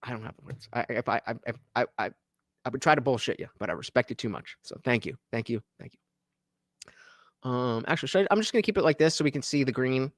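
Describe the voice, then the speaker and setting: Lively, animated male streamer. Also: medium pitch, dry recording, studio quality. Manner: animated, profane, interrupting with quick asides. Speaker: livestream host reacting to a debate clip. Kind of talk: live reaction stream commentary.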